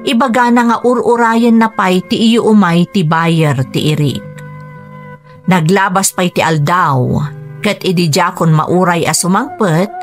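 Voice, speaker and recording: A woman acts as a middle-aged Ilocano village wife who bears her worries for the family. Her voice is mid-pitched and set over music, in the manner of a radio drama. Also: lightly acted. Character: long-suffering rural wife and mother, trusting, anxious. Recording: music under the voice.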